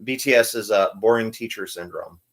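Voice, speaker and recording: A man's voice: lowish voice, fairly quick. Laid-back man in his forties. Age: 40s. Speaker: podcast co-host. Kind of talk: casual chat.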